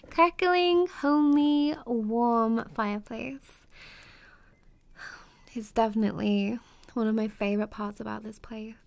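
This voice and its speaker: A young woman playing a warm, playful best friend in an intimate ASMR roleplay, chatting casually with a giggly, teasing, hesitant manner. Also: fairly high pitch, slow pace, creaky, long pauses, no room sound. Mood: welcoming, fond.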